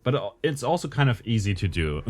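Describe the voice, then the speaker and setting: A man's voice: medium pitch, very animated, fairly quick, no room sound. A man giving casual gameplay commentary, thinking aloud with self-corrections, his voice strained lately.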